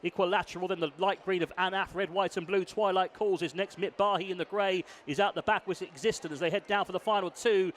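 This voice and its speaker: Male race caller: high pitch, urgent live commentary, slightly noisy outdoor broadcast. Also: fairly even intonation, fast talker, hardly any pauses, a bit bright. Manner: urgent running call. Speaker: horse racing commentator.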